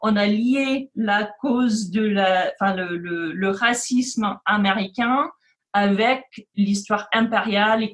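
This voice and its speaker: A woman giving an unscripted analytical explanation, searching for words and building lists piece by piece. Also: medium pitch. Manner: halting, searching for words, listing. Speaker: interview guest giving analysis.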